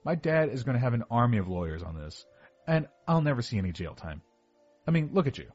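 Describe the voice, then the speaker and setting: A man playing a heavyset, obsessive young killer confessing defensively to detectives in a horror audio drama monologue, with a husky voice. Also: mid-range voice, sing-song, fast, a little mellow. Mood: defensive, wry. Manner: confessional, defensive, self-justifying. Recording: dull lo-fi sound with music behind.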